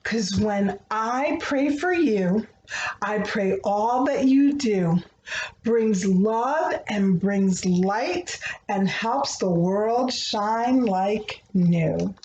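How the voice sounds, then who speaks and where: A woman's voice: medium pitch, extremely slow, a little mellow. A woman speaking tenderly and earnestly to young children, as the host of a picture book read-aloud. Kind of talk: expressive read-aloud of a rhyming picture book, then gentle talk to children. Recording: dull, echoey room with faint noise.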